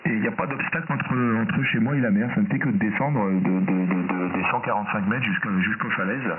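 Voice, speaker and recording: A man's voice: on the low side, brisk, music in the background. A man from France with a metropolitan French accent, chatting casually as an amateur radio operator. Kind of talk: casual ham radio chat with spelled call signs. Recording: noisy, narrow-band, distant shortwave radio. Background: radio static and clicks.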